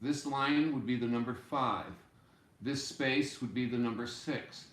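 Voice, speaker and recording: A man in his sixties giving an informal music lesson, explaining deliberately and step by step in a small room. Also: mid-range voice. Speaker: music teacher. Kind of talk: informal instructional explanation at a whiteboard. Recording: roomy, echoey home room sound.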